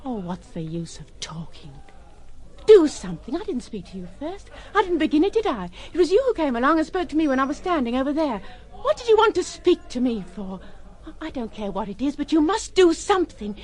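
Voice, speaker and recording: A woman's voice: sits high, big pitch swings, a little fast, darkish tone. An actress playing a poor, hard-bitten young orchestra girl, desperate and pleading, in a radio drama.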